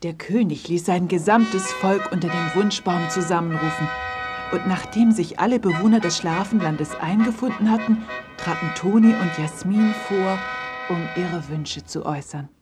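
A woman's voice: on the low side, moderate pace, over music. A woman narrating a children's fairy tale in a scripted storytelling style.

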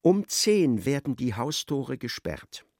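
An actor narrating a literary radio play as a wry, expressive storyteller. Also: male voice, medium pitch, takes it slowly, no room sound, clean studio sound, exaggerated voice.

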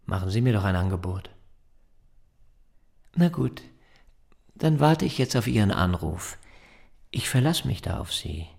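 An actor in a German radio drama playing a pedantic, insistent neighbour who needles and slyly presses for money. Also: male voice, fairly low pitch, big pitch swings, unhurried, gravelly, pauses often, darkish tone, slightly rough, full character voice. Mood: pressing, sly.

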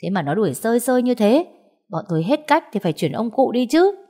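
A woman voicing a brash, greedy, quarrelsome middle-aged aunt who wails and scolds loudly and crudely in an audio story. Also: fairly high pitch, sing-song, quick pace, pitched above the performer's own voice. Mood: indignant, scolding. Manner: loud, crude wailing and scolding.

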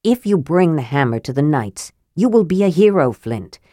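A man's voice: a little high, very animated, normal speed, mellow, no room sound, clean studio sound. A woman narrator playing a proud, earnest male knight in a fantasy audiobook, urgent and formal. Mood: earnest persuasion.

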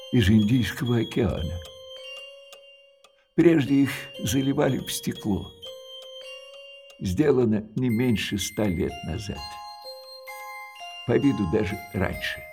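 An actor playing an elderly, widowed male junk-shop owner in a radio drama. He talks slowly and hesitantly, and his sentences trail off softly between pauses. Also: mid-range voice, animated, faint music behind. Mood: wistful. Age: older.

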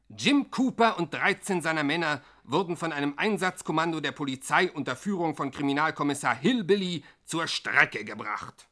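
A man's voice: fairly high pitch, bright, forceful tone. A man narrating a 1960s radio comedy in grandiose, mock-dramatic, declaimed style.

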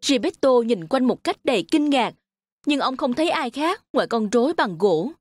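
A woman's voice: high-pitched, sing-song, normal speed, darkish tone, no echo. A woman reading scripted narration for a children's fairy tale.

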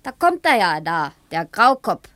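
Bright, gossipy village neighbour. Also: female voice, mid-range voice, big pitch swings, measured pace, no room sound. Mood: gossipy, confiding. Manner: garrulous, colloquial, confiding. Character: chatty, gossipy village housewife, nosy neighbour. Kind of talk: naturalistic German radio play acting.

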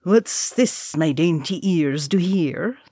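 A woman's voice: sits low, animated, dark tone, husky, no room sound, clean studio sound, exaggerated voice. Woman doing a sly, folksy old dwarf innkeeper. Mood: amused, sly. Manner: wily, folksy, drawn-out dialect. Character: sly old male dwarf tavern keeper and bookmaker.

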